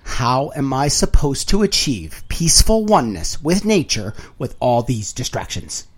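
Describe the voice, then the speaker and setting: Male audiobook narrator, mid-pitched, for literary prose; wry and theatrical, with deliberate phrasing. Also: big pitch swings, breathy edge. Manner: dramatic, wry, deliberate phrasing with emphatic swells and hushed drops.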